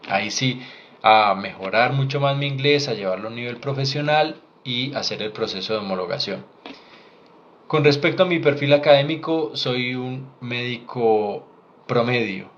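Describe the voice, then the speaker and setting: A man's voice: mid-range voice, moderate pace, fairly bright tone. A Colombian man in his thirties, a doctor, speaking frankly and earnestly to camera about his career path with a Colombian accent. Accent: Colombian Spanish. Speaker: doctor sharing career advice. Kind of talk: direct-to-camera advice vlog. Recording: slightly dull lo-fi sound with faint noise.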